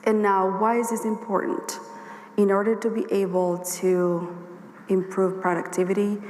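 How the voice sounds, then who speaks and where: A woman with a hoarse voice giving a workshop presentation at a conference, explaining her points steadily and speaking straight to the audience. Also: mid-range voice, level tone, normal speed, darkish tone. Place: conference hall. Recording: echoey hall with long reverb, faint ambience.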